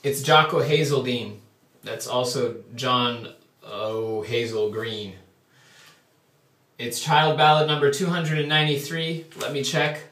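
A man's voice: mid-range voice, fairly even intonation, slow, fairly bright tone. A young Canadian man talking casually and in a rambling way, then singing a ballad to his ukulele, with a few self-deprecating asides, recorded in a small tiled bathroom by a distant camera. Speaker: folk singer. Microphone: far camera. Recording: echoey tiled room, distant mic. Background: ukulele playing.

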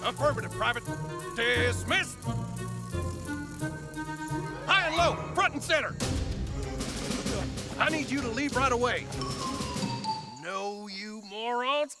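An actor playing a bossy bug military commander who barks clipped orders in an exasperated way. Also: male voice, very high voice, slow pace, long pauses, over loud music. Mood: exasperated, commanding. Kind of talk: cartoon villain military orders.

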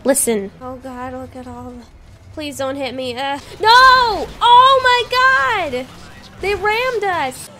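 A young woman in her twenties chatting casually while playing a video game, excitable and quick to exclaim. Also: high-pitched. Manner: excitable, exclaims and giggles often. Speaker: gaming co-host. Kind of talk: casual gameplay chat.

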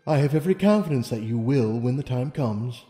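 A man's voice: mid-range voice, expressive, a little fast, mellow, natural voice. A man voicing a wise, serene female pony ruler in a storybook reading, with solemn, formal speech. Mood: reassuring. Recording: long reverb, music underneath.

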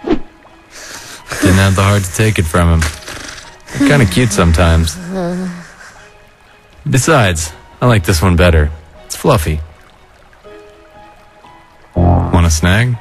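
A man in an animated comic dub playing a weary, laid-back adult skeleton, brooding yet kind, with a low-key, reflective drawl. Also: slow, long pauses, faint music behind, full character voice. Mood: weary, guarded.

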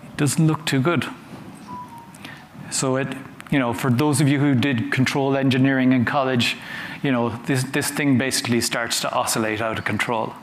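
A man giving a conference talk from a stage, conversational and halting, often repeating words as he goes. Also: medium pitch, steady tone. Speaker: conference speaker. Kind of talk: conference talk with audience questions. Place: large conference hall on a stage. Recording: roomy hall sound with faint noise.

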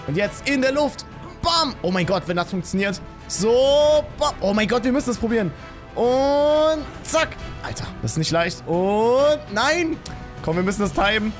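High-pitched, animated young gaming YouTuber guy. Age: 20s. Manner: animated, exclamatory, addressing viewers and game character. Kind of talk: excited let's play commentary. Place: small home streaming room with acoustic foam, indoors. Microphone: studio microphone on boom arm, close.

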